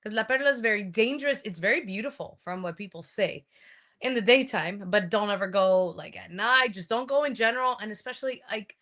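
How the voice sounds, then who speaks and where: Bright-voiced woman telling stories casually. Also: mid-range voice, brisk. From Colombia. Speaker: wrestler, interview guest. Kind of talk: casual interview storytelling.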